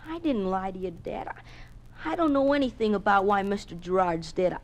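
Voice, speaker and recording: An actress playing an evasive but dutiful schoolboy son in a 1950s radio drama, on a noisy vintage recording. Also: female voice, mid-range voice, brisk, lightly acted. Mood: pleading, defensive.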